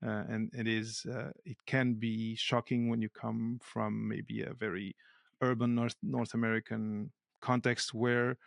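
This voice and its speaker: A man's voice: lowish voice, level tone, a little slow, vocal fry, no echo, studio quality. A man from Portugal, speaking natively, talking as a podcast guest in a thoughtful way, often searching aloud for the right words. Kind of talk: casual conversation.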